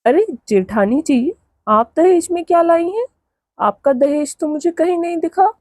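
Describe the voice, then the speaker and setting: A woman's voice: very high voice, lively intonation, a little slow, darkish tone, no echo, clean studio sound. A woman voicing a haughty, boastful young daughter-in-law in a cartoon moral story, with a taunting, condescending manner. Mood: mocking. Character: haughty young city daughter-in-law flaunting her dowry.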